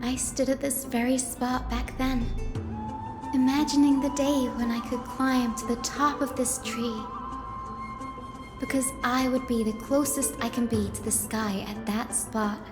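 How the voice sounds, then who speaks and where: An actress playing a gentle, wistful young physician in a game, soft-spoken and quietly confident. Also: female voice, a little high, steady tone, measured pace, natural voice. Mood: wistful, musing. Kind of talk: game story dialogue. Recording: long reverb, music behind.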